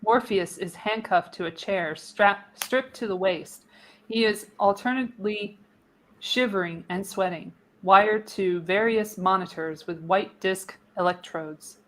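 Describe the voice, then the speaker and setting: A woman's voice: medium pitch, a little slow, fairly bright tone, a little hiss or hum, poor audio. A woman narrating screenplay stage directions for a live radio play, reading expressively with the occasional stumble. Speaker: narrator, show host.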